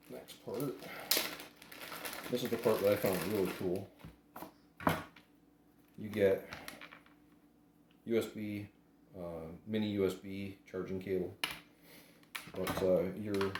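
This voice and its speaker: A man's voice: fairly low pitch, steady tone, takes it slowly, long pauses. A man giving a casual, unscripted hands-on product unboxing in a plain-spoken, hesitant way. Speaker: product reviewer doing an unboxing. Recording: roomy echo, faint background noise.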